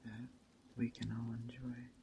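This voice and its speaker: A man playing a calm, hospitable witch of a woodland coven in a gentle, unhurried ASMR roleplay that drifts into soft whispers. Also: mid-range voice, vocal fry, noisy background. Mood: hushed, reflective. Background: rain and forest ambience.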